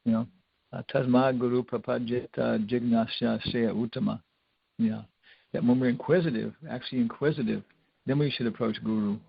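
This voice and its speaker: Older man, gravelly informal spiritual lecturer. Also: fairly low pitch, dry recording. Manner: rambling, conversational, with wry humour. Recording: dull lo-fi lecture recording.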